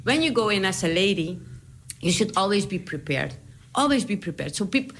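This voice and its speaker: A woman's voice: mid-range voice, very animated, moderate pace, a bit bright. A woman with a Surinamese accent, a politician telling her story in an interview, chatty and laughing often. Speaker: politician guest.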